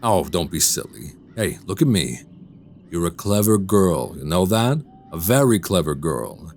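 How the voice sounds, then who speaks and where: Man playing a deep, menacing secret werewolf. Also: sing-song, a little mellow, slight background noise, heavily acted. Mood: smooth, creepy flattery. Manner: slow, condescending, menacing. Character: sinister soft-spoken man who is secretly a werewolf. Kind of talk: immersive radio drama acting.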